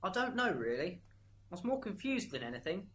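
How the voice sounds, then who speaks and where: A woman's voice: on the low side, very animated, a little fast, fairly bright tone, a little hiss or hum. A woman playing a blunt, defensive schoolboy witness in an amateur radio drama. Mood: matter-of-fact. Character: sullen, blunt schoolboy son in the witness stand.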